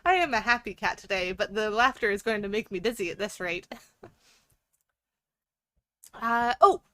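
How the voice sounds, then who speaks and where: A woman's voice: medium pitch, lively intonation, normal speed, a bit bright. A woman hosting a livestream, chatting casually with viewers in a playful, giggly, rambling way. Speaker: livestream host.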